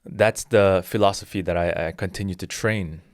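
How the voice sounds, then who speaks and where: A man's voice: low-pitched, fairly even intonation, moderate pace, no echo, clean studio sound. A man in his thirties, a jiu-jitsu instructor, explaining his philosophy earnestly in a casual interview with frequent restarts. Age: 30s.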